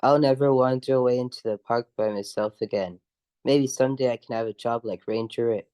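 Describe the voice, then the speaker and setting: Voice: mid-range voice, brisk, dark tone, natural voice. An adult performer playing a brave but scared young boy in an amateur radio drama. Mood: sheepish, eager.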